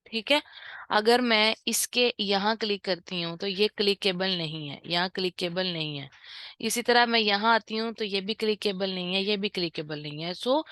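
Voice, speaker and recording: Brief: bright, crisp-voiced woman, casual tutorial presenter, dry close sound. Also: medium pitch, no echo. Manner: informal, repeats "ठीक है" often, mixes in English terms. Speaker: online course instructor. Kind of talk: screen-share tutorial walkthrough.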